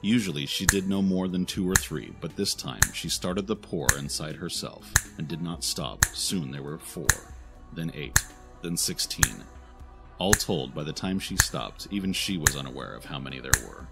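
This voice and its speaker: A man's voice: a bass voice, moderate pace, over loud music. A man reading scripted audio drama narration in a dramatic, solemn storytelling manner.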